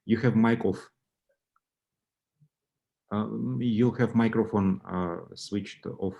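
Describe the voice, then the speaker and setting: A man's voice: on the low side, fairly even intonation, slow, creaky, dark tone, muffled recording. A man hosting an online alumni session, calling on people in turn with many hesitant fillers. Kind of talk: moderating a video call. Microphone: video call microphone.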